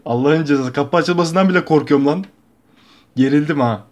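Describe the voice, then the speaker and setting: A man's voice: a little high, a little fast, a little mellow, lo-fi sound. A young man from Turkey giving casual, slangy, joking reaction commentary as a video host. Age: young adult. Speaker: YouTube host reacting to a horror cartoon. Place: bedroom at home.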